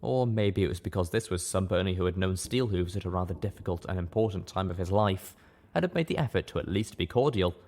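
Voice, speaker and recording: Man, audiobook narrator, fairly low voice, steady earnest reading. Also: fast. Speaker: audiobook reader.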